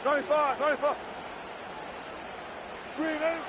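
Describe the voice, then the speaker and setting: A man's voice: very high-pitched, steady tone, normal speed. A man voicing an urgent football quarterback, barking short snap-count calls on the field. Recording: crowd noise around the voice.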